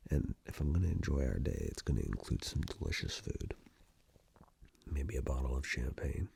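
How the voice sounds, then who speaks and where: A man in a soft, unhurried, breathy ASMR roleplay, half-whispering close to the microphone as a flirtatious, playful romantic partner. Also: rumbling low, very animated, a little hiss or hum. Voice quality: breathy, gravelly.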